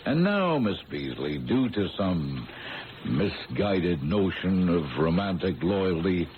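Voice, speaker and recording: Deep-voiced, wry veteran police sergeant. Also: male voice, very animated, exaggerated voice. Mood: patronizing, skeptical. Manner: patronizing, folksy, drawn-out emphasis. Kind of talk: old-time radio drama acting. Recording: tinny, noisy old radio broadcast.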